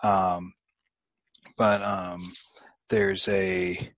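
A man hosting a casual book discussion, talking in a halting, thoughtful way and often trailing off. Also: low voice, little pitch movement, a bit bright, dry recording.

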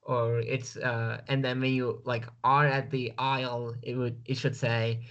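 A man's voice: medium pitch, flat delivery, a little slow, a bit bright, muffled recording. A man from Turkey with a Turkish accent, a guest speaking over a video call, hesitant and full of fillers.

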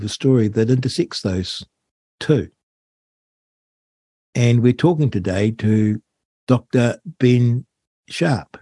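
A man's voice: fairly low pitch, mellow, breathy edge, no room sound. A middle-aged man with a New Zealand accent, hosting a talk radio show, speaking in an earnest and indignant way. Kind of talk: talk radio monologue and interview. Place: radio studio.

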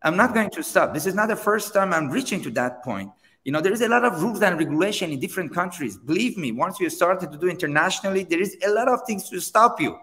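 A man whose first language is Persian, a seminar trainer answering interview questions in halting English with many restarts. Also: high-pitched, big-room sound. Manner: halting, many restarts, non-native grammar, animated when pressed. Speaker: seminar trainer and company founder.

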